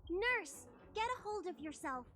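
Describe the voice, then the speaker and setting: A woman's voice: very high-pitched, lively intonation, a little slow, sharp tone, soft background music, full character voice. A woman voicing a spirited young girl healer in a video game, bossy in a caring, doctorly way. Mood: firm, reassuring.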